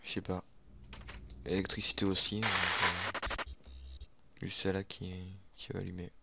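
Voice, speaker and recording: A man's voice: low voice, flat delivery, measured pace, creaky. A man playing an anxious survivor of a zombie apocalypse in an improvised video game roleplay, speaking hesitantly and informally. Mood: resigned. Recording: background noise under the voice.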